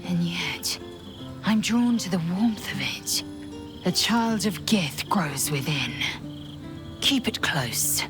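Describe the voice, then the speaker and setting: A woman's voice: fairly low pitch, expressive, slow, pauses often. An actress playing a proud, fierce alien warrior woman in a video game, imperious and guarded. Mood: guarded, solemn. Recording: music behind the voice.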